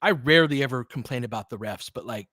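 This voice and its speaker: Male fan commentator, mid-pitched, calling basketball live in a loose, partisan, conversational way; close, dry recording. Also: fast, fairly bright tone, no room sound. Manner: informal, partisan fan talk with mild swearing. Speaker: fan play-by-play host.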